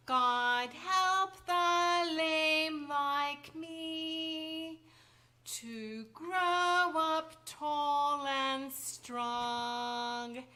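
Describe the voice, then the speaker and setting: A woman's voice: high voice, pushed and bright, exaggerated voice. A woman playing a small, frail, pious boy with sweet hopefulness in a staged radio drama.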